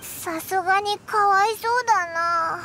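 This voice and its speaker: A woman's voice: very high voice, a little slow, pushed and bright, a little hiss or hum, exaggerated voice. A woman playing a tiny, chatty fairy companion in a Japanese anime game, childlike and bluntly casual. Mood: sympathetic, suggesting. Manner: boyish blunt casual phrasing. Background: background music.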